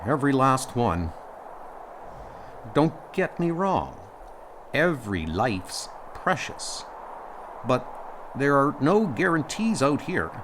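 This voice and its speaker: A man's voice: medium pitch, big pitch swings, slow, pauses often, noisy background, voice pushed up. A man voicing a resilient colony leader in a comic audio drama, familiar and plain-spoken. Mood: stubborn, familiar. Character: rugged, resilient male colony leader, captain's old friend.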